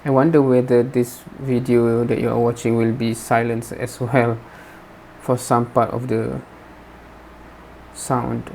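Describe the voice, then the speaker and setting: Man commenting haltingly, faint noise. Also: medium pitch, flat delivery, creaky, a little mellow. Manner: halting, repeats and rephrases words. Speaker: reaction video host. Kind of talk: unscripted spoken commentary.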